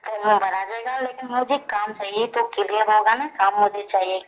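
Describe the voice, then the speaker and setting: A woman caller with a medium-pitched voice speaks on a phone call. She answers in short, plain sentences and insists, repeating herself often. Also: steady tone, a little fast, a crowd in the background. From Delhi, India. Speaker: caller seeking film work. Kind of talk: phone conversation.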